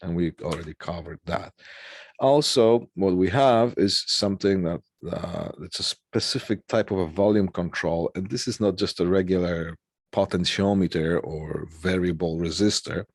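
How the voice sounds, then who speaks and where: A man teaching a technical lesson informally over slides, explaining haltingly and often checking that listeners follow. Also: deep voice, no room sound, clean studio sound. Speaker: technical instructor.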